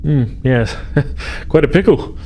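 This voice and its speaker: A man's voice: on the high side, takes it slowly, a little mellow, voice pushed up. Man acting a musing, absent-minded starship engineer, noisy recording. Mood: musing, breezy. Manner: hesitant, musing, hemming and hawing.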